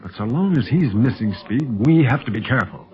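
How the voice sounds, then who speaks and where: An actor playing a calm, protective secret agent mentoring a boy in a 1930s radio adventure serial. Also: medium pitch, fairly quick, natural voice. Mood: cautious, reasoning. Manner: calm, reassuring, authoritative. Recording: muffled 1930s radio recording.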